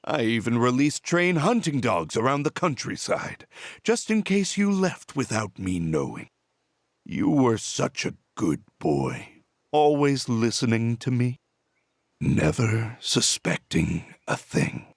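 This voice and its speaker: An embittered villain of a video game, a middle-aged false father consumed by obsession. He speaks slowly and theatrically, with big swings in pitch and a taunting edge, recorded close and dry. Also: sing-song, dry recording, exaggerated voice. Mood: smug, confessing. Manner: theatrical, taunting, drawn-out delivery. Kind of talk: dramatic video game voice acting.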